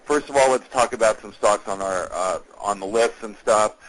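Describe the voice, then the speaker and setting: A man hosting a call as an analyst, giving a semi-scripted market briefing in a measured, businesslike, explanatory way. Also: on the low side, rough recording.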